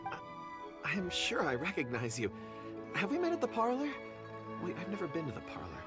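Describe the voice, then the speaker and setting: An actor playing a forgetful, jovial assistant who chuckles easily and talks in an easygoing, absent-minded way. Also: male voice, high-pitched, wildly swooping pitch, brisk, loud music behind the voice.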